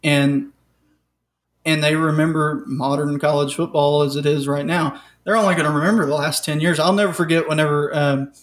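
Higher-voiced man reminiscing. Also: steady tone, normal speed. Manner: reminiscing, hesitant fillers. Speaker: podcast co-host. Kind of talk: casual sports chat.

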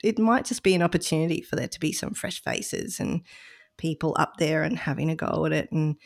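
A woman's voice: low voice, brisk, gravelly. A woman co-hosting a podcast, chatting casually and reflectively about her volunteer role. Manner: chatty, reflective, self-deprecating. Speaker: podcast co-host, run director.

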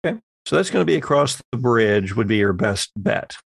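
A man's voice: lowish voice, very animated, brisk, creaky, husky, no room sound. A man narrating an improvised horror tabletop game as game master.

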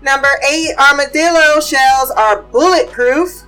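A high-pitched woman hosts a casual chat show, talking in a bright, forceful tone. She is chatty and blunt, swears freely and moves quickly from gossip to trivia. Faint music runs underneath. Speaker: podcast host. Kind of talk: casual pop culture chat and fun facts.

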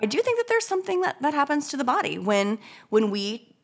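A woman with a hoarse, congested voice from a cold, chatting casually as a podcast co-host and rambling through many restarts. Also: sits high, very animated, fairly quick. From United States. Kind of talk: casual chat.

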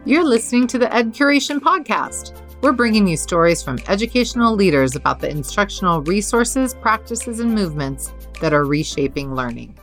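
A woman announcing a podcast intro in a bright, lively, scripted way. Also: medium pitch, brisk, few pauses. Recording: intro voice over faint music.

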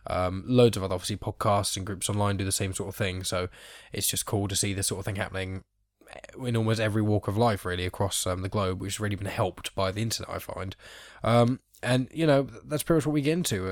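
Fast-talking congested young male podcast host. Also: fairly low pitch, no echo, clean studio sound. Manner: rambling, many ums and sort-ofs. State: blocked nose from hay fever. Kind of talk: casual podcast chat.

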